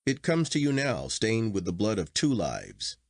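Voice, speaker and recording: A man voicing a despairing, reproachful gentleman's farewell letter in a literary story, solemn and earnest. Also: lowish voice, huge pitch swings, a little slow, no echo, studio quality, exaggerated voice.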